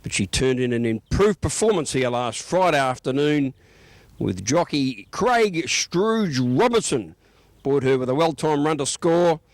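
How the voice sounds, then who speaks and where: A man's voice: a little high, animated, unhurried, no echo. An Australian man reading a scripted racing report as a news reporter.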